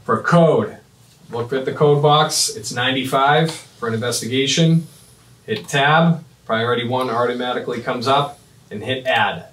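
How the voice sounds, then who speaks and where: A man in his thirties giving a step-by-step software demonstration in short, clipped instructional phrases. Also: medium pitch, moderate pace. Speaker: fire dispatch trainer. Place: small indoor office at a computer desk. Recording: roomy, echoing, mic at a distance.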